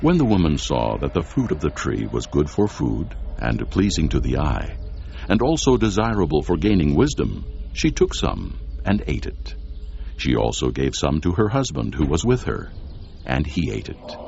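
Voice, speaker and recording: A man with a gravelly bass voice giving solemn, measured narration of dramatized scripture. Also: big pitch swings, darkish tone, slight background noise. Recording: dull lo-fi audio with music behind.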